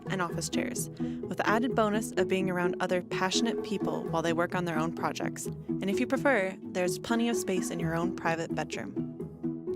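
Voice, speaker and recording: A woman narrates a promotional video in a polished, upbeat voice at a mid pitch. Loud background music plays under her voice-over.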